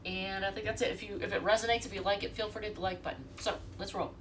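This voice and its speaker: A woman's voice: medium pitch, fairly even intonation, rapid-fire, far from the mic, noisy background. A congested woman from the United States doing a casual, chatty tarot reading and talking straight to her viewers. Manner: chatty, rambling, talks to viewers directly. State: congested from allergies. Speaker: tarot reader.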